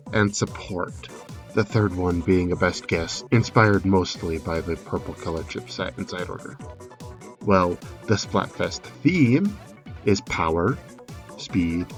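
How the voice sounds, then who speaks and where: A man giving a measured, explanatory voice-over commentary, semi-scripted, as a video creator analysing a game trailer. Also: lowish voice.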